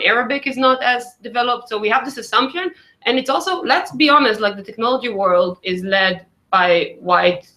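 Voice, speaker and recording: Hebrew-speaking woman on a panel, bright voice, lots of "like". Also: mid-range voice, low-quality recording. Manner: chatty, many "like" fillers. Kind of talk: casual panel discussion.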